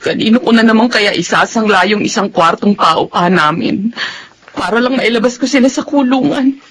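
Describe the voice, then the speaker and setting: A woman's voice: a little high, normal speed, slight background noise, close to an everyday voice. An actress playing a distraught, pleading widowed mother in a Filipino radio drama. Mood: troubled, pleading. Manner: pleading, emotional. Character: distraught widowed mother of two troublesome sons.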